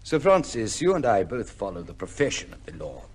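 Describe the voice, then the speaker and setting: A man's voice: on the low side, very animated, a little fast, darkish tone, breathy edge. An actor playing an idealistic male barrister, earnest and oratorical, in an old-time radio drama. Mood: impassioned. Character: impassioned, idealistic male barrister with a warm conscience.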